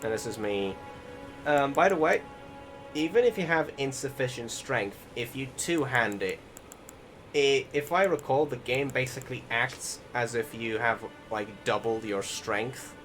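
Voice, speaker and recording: A man's voice: medium pitch, animated, measured pace. A man giving a casual, informal voice-over commentary on gameplay, rambling and hesitant, with some swearing. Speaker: game video commentator. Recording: loud music behind the voice. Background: loud music.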